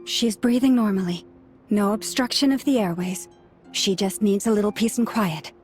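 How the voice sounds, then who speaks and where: A woman voicing a gentle, calm healer in a game, speaking in a soothing, measured way. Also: mid-range voice, faint music behind, close to an everyday voice.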